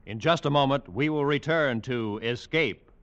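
A man announcing for a 1950s radio drama series, with a smooth, promotional delivery. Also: mid-range voice, normal speed. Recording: dull old radio recording, faint music.

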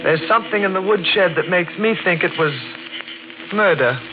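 An actress playing a secretive private nurse in an old radio mystery, deliberate and conspiratorial in an urgent way. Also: female voice, fairly low pitch, phone-quality sound, heavy background noise, lightly acted. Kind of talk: 1940s radio mystery acting. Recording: thin old radio broadcast with music.

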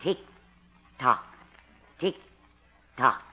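A woman's voice: rumbling low, lively intonation, very slow, breathy edge, full character voice. An actress playing a mild, chatty older mother in a 1950s radio comedy, homely in manner. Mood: suspenseful. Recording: dull, noisy 1950s radio recording.

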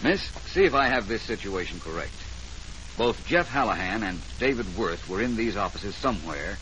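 Shrewd private detective, lowish voice, assured, deliberate, commanding, noisy vintage radio mystery. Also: male voice, expressive, fairly bright tone. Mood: assured, probing. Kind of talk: 1940s radio detective drama acting.

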